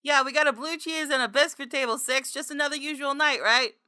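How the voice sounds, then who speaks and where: A woman's voice: high-pitched, steady tone, fast, pushed and bright, pitched above the performer's own voice. Woman playing a flustered young restaurant server. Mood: exasperated. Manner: stammering, hesitant.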